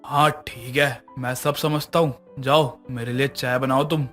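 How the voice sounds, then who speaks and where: A man's voice: mid-range voice, steady tone, unhurried, fairly bright tone, faint music behind, close to an everyday voice. A man acting a brusque, guilt-ridden middle-aged husband and father in a narrated moral story. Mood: curt, irritated.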